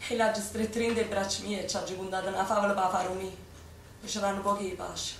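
A woman's voice: medium pitch, level tone, fast, a little hiss or hum, lightly acted. An actress playing a young Neapolitan woman who fights as a wartime partisan, speaking with a Neapolitan accent in a stage play for radio. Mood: wistful. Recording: echoey stage, distant mic, music underneath.

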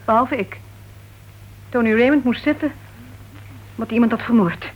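An actress playing a cool, stubborn club secretary and crime playwright in a Dutch radio play, terse and disapproving. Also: female voice, a little high, normal speed, husky. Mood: grave, resigned. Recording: dull old radio recording, faint noise.

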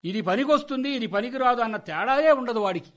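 A man's voice: very high voice, animated, quick pace. A man acting as a grumbling, exasperated middle-aged husband who nags and argues in a radio comedy play. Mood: sarcastic warning. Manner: nagging, argumentative. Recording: dull, lo-fi radio play recording.

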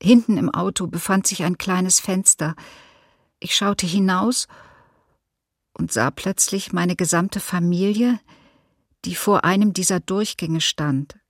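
An actress voicing a woman survivor recalling her youth in a documentary radio drama, measured and serious. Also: lowish voice, dry recording, clean studio sound. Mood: serious, remembering.

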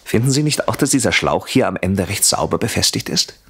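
A vengeful husband in a radio play, very low and husky. His pitch swings widely as he moves from mock courtesy to menacing whispers, always deliberate and coldly methodical. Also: lively intonation, a bit bright, exaggerated voice. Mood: mock-courteous, gloating. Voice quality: husky, often dropping to a whisper. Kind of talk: German radio play acting.

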